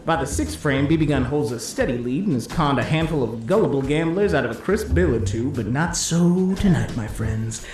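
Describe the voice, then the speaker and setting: An actor playing a slick, cheeky 1950s disc jockey who calls a bowling game with showy hepcat patter and drawn-out flourishes. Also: male voice, mid-range voice, animated, darkish tone, a little hiss or hum, lightly acted. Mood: wry, playful. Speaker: play-by-play announcer. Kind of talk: 1950s radio-style sports commentary. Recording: roomy echo, music underneath.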